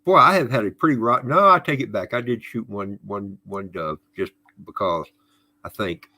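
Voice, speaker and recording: A middle-aged man with a bright, forceful voice chats on a podcast livestream. He is friendly and folksy and greets viewers warmly by name. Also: on the low side, expressive, moderate pace. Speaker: podcast co-host. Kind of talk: casual livestream chat.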